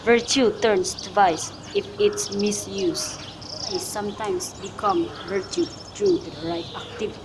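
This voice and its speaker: A holy friar played by a woman recites in a careful, amateur radio-play manner. The mentor's counsel is solemn and gently chiding, at an average pitch. Birdsong and noticeable background noise sit behind the voice. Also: fairly even intonation, unhurried, lightly acted. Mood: solemn, musing. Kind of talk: student radio drama, recited modern Shakespeare.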